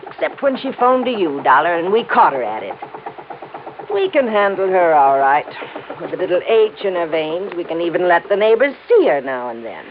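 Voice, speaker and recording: Tough american gangster gal, sneering n bossy, swingy pitch, noisy old radio crime show. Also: female voice, very animated, normal speed. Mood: mocking. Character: hard-bitten sarcastic female gangster's accomplice with a gun. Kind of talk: old-time radio crime drama acting. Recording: tinny, noisy vintage radio recording.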